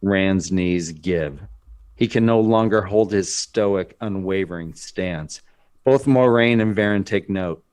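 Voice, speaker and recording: Low-pitched man narrating a screenplay. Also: takes it slowly, darkish tone, smooth. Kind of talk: scripted narration of screenplay directions.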